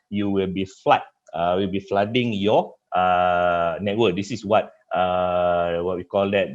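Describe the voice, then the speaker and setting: Dull, muffled webinar audio carries a deep-voiced man with a Malaysian accent, a native Malay speaker. He is a trainer walking through a live software demonstration. His delivery is halting, padded with repeated filler phrases. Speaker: cybersecurity trainer. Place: online webinar with screen share.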